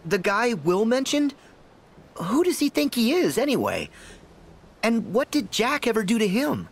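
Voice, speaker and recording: Plucky boy hero with lively pitch. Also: a little slow, husky, exaggerated voice. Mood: puzzled, indignant. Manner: casual, breezy, boyish. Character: plucky, cocky, good-natured teenage boy hero. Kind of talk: video game voice acting.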